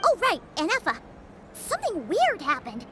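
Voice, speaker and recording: A woman voicing a small, excitable, childlike fairy companion in a video game, with a squeaky voice. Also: moderate pace, heavy background noise, full character voice. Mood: worried. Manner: refers to self in third person. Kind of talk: animated video game acting. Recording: loud music behind the voice.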